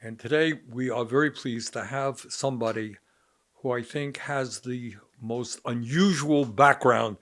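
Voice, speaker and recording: Older guy hosting an interview, average pitch, measured and deliberate. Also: a bit bright. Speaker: podcast host and interviewer.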